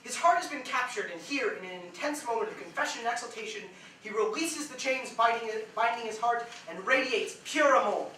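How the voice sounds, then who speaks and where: An actor playing an insistent, idealistic writer, speaking dramatically in a staged play reading. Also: male voice, extremely high pitch, expressive, a little fast, pushed and bright, full character voice. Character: insistent, idealistic male writer, bickering and explaining. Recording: very echoey room, distant mic, clear hiss.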